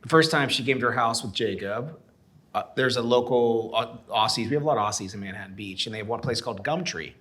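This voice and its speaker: A man co-hosts a laid-back podcast with loose jokes and the odd curse. His voice sits at a medium pitch for a man. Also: fast talker, a bit bright. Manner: joking, loose, swears casually. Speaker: podcast co-host. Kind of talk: casual chat.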